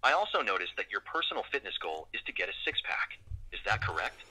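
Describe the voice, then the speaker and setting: A male AI assistant makes an upbeat, scripted reminder call. The voice is low, very sharp and a bit husky, played through a phone speaker. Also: big pitch swings, fast, poor audio.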